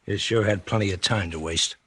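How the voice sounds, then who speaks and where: An actor in a 1940s radio drama playing a brash, uneducated escaped convict and remorseless killer who talks in rough, cocky slang. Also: male voice, low-pitched, brisk, fairly bright tone, no echo, studio quality. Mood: cocky, callous.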